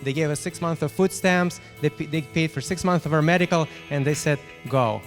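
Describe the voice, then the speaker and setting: Ukrainian-accented man, fairly high voice, halting testimony, guitar music clearly underneath. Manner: halting, many fillers and restarts. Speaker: testimonial guest. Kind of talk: personal success testimony.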